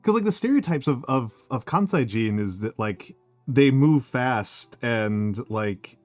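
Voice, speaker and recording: An American man chatting casually on a livestream, talking in halting bursts full of fillers and restarts. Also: medium pitch, lively intonation, no room sound, faint music behind. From United States. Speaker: streamer. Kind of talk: casual chat.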